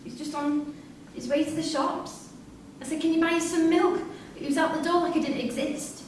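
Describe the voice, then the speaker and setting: A roomy, distant recording with faint noise carries an actress as a bewildered, grieving widow in a staged drama reading. Her voice is extremely high, fragile and plaintive. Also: fairly even intonation, moderate pace, close to an everyday voice. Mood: subdued, wistful. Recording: distant mic in echoey room, noisy.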